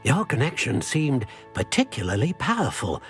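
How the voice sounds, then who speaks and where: An actor playing an elderly, eccentric wandmaker in a video game, gentle and fussy, musing and murmuring to himself. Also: male voice, mid-range voice, huge pitch swings, normal speed. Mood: warm, instructive. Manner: murmuring hesitations, musing aloud, exclaiming. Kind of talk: theatrical video game cutscene acting. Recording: music behind the voice.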